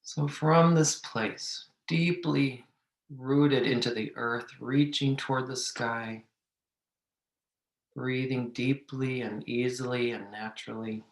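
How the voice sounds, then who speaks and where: A man leading a guided meditation, giving gentle, measured instructions with long pauses. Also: mid-range voice, fairly even intonation. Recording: echoey room.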